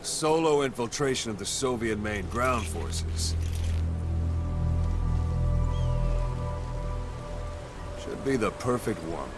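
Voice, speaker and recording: An actor playing a gruff male mercenary commander in a video game cutscene, giving a blunt, clipped mission briefing over loud music and rain. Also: medium pitch, expressive, moderate pace, natural voice. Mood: low, steady.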